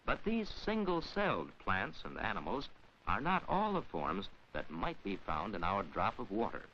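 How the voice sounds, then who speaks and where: A man delivering formal scripted narration in the measured, instructional manner of a mid-century educational science film, with careful enunciation. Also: lowish voice, wildly swooping pitch, bright, forceful tone. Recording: dull, hissy 1940s film soundtrack.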